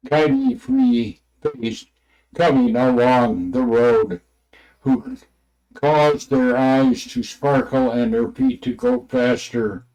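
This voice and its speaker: Halting man reading aloud, gravelly and mellow. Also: mid-range voice, rough recording. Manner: halting, stumbling over words and names. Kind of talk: halting read-aloud of religious text.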